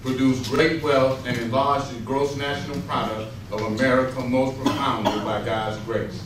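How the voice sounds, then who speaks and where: Man, medium pitch, solemn scripted recitation, distant mic, strong echo. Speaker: readers theater reader. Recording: very echoey room, distant mic, clear noise.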